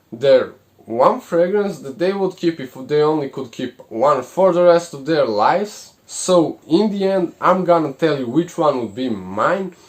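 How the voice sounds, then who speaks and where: Male host, polite and careful, slightly high voice. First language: Serbian. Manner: polite, apologetic, carefully paced. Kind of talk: video introduction.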